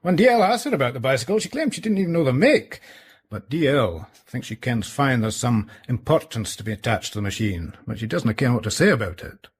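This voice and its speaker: A dutiful Scottish inspector in radio drama. The voice is rough-edged and husky, with a strongly varied melody. He sounds plain-spoken and businesslike, yet deferential. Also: medium pitch, very animated, fairly quick. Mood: matter-of-fact. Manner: matter-of-fact, deferential.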